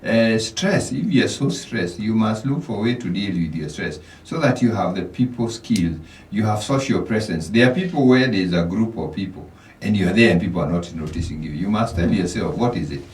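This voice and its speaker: A man's voice: on the low side, animated, normal speed, a little mellow, reverberant, far from the mic, rough recording. A Kenyan man, a financial coach, giving motivational advice as a radio guest, often repeating words as he starts a thought. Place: radio studio.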